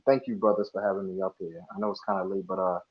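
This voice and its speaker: Ghanaian-born man, low voice, muffled. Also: little pitch movement, fast talker. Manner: earnest, restarts often while explaining. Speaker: panel guest. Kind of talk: casual online panel discussion. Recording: dull lo-fi audio.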